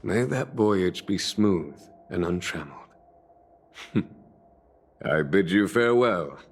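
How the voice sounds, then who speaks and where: A man's voice: deep voice, expressive, slow, a little hiss or hum, heavily acted. A man voicing a gracious, eloquent general in a video game, speaking formally and with leisure. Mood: gracious, relieved. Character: courtly, gracious wounded general fond of poetry.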